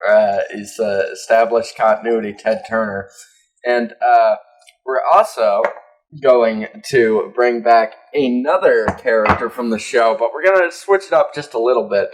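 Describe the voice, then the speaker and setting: A man's voice: medium pitch, measured pace, low-quality recording. A man co-hosting a casual comedy podcast, joking crudely and irreverently in loose conversation. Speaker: podcast co-host.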